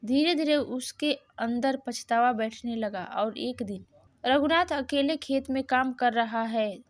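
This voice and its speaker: A woman's voice: fairly high pitch, a little slow. A woman narrating a scripted moral story as the storyteller.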